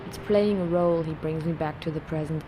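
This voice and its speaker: A woman reading a reflective, poetic voice-over narration in a calm, measured way. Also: deep voice, over music, rough recording.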